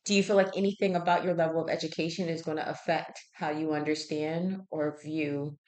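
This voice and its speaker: Low-pitched woman conducting a health intake interview. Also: fairly even intonation, a little fast, a little mellow, low-quality recording. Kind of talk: conversational health history questioning.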